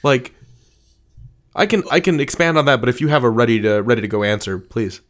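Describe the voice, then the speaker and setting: Very animated female interviewer, chatting casually. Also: extremely low pitch, fairly quick. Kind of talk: casual chat.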